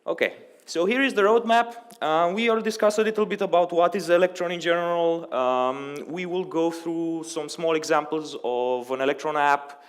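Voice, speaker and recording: A man's voice: a little high, moderate pace. A young Romanian man giving a conversational technical conference talk, with frequent ums and uhs. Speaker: conference speaker. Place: conference hall. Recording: long hall reverb.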